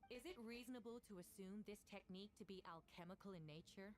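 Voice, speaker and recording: Casting: actress for stern game-drama prosecutor, medium-pitched woman, composed, official, accusatory. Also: faint music behind, lightly acted. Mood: stern, accusing. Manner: formal, measured, accusatory.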